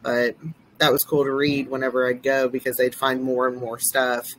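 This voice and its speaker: A woman in her forties with a deep voice, co-hosting a podcast over a video call and rambling through her stories with many fillers. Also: flat delivery, normal speed, fairly bright tone, rough recording. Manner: rambling, lots of fillers and restarts. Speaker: podcast co-host. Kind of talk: casual chat.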